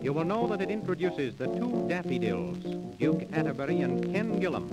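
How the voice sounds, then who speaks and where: A man announces an early 1930s radio show in a fairly high voice. He reads a scripted introduction over loud music. The sound is that of an old transcription disc. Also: animated, normal speed, poor audio.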